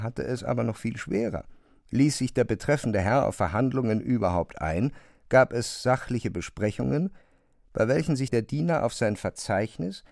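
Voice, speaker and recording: A man narrating a literary radio drama in a measured, precise way, as a composed observer. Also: mid-range voice, darkish tone, dry recording, studio quality. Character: male narrator of an absurd bureaucratic tale, observant and composed.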